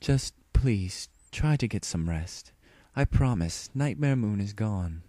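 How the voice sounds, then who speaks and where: A man voicing a gentle, caring older sister, a royal mare in a storybook reading, soft and reassuring. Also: lowish voice, animated, takes it slowly, dry recording, voice pushed up. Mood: gentle concern.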